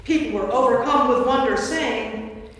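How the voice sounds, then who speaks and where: A woman's voice: fairly high pitch, unhurried, a bit bright. A woman giving scripted narration for a staged scripture reading in a church. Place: inside a large church. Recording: distant, very echoey church hall.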